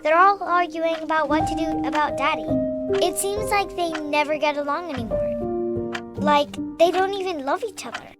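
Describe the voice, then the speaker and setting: A female performer playing a wistful ten-year-old girl reading out her diary in an audio drama, with loud music behind her. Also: sits high, normal speed, natural voice. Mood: tender, sad.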